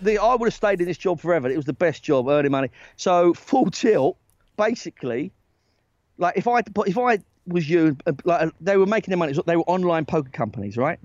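A man's voice: on the high side, fairly quick, dry recording. Chatty man telling a story, dry dull sound. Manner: animated, lots of "right?" tag questions, bursts of laughter. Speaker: talent agent, podcast guest. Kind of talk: casual storytelling chat.

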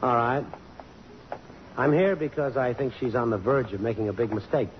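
An actor playing a cocky, wisecracking press photographer, breezy and sardonic, in 1950s radio drama style. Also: male voice, medium pitch, moderate pace, natural voice. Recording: muffled, noisy old radio recording.

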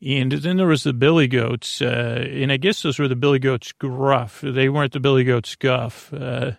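A man hosting a sleep podcast, with a creaky, gravelly voice, rambling in a meandering, halting monologue that often stops and restarts. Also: mid-range voice, fairly even intonation, dry recording, studio quality. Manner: meandering, halting, self-deprecating.

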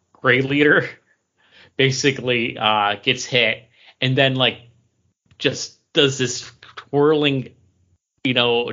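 A man's voice: medium pitch, pushed and bright, lo-fi sound. A middle-aged man co-hosting a podcast, rambling enthusiastically as a fan in casual conversation. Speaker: podcast co-host.

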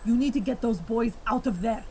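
A woman's voice: fairly high pitch, a little fast, noisy background, natural voice. A woman acting in an audio drama as a protective female vampire, speaking urgently and dramatically. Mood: urgent, commanding. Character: commanding female vampire, fierce and protective friend.

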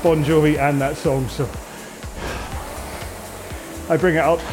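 A man's voice: on the high side, steady tone, slow pace. A man coaching a rowing workout in a casual, rambling, jokey and conversational way. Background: music.

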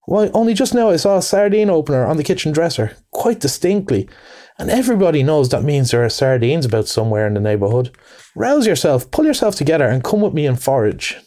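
A man reading aloud voices a cheery, bustling male water rat who talks in a brisk, hearty, encouraging way. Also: on the high side, sing-song, dark tone, voice pushed up. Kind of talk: storybook reading.

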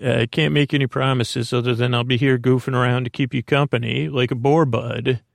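A man with a gravelly, creaky voice at a middling pitch rambles through a bedtime monologue as a podcast host. He halts, wanders and breaks off his own sentences to start over. The recording is very dry, with no room sound around the voice. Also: fairly even intonation, no room sound. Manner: halting, meandering, self-interrupting.

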